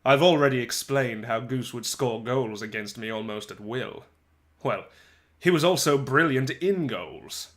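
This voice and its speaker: A man voicing a chatty, wry eleven-year-old soccer-mad schoolboy in a dramatic storybook reading, conversational with animated asides and pauses. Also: mid-range voice, close to an everyday voice. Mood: wry exasperation.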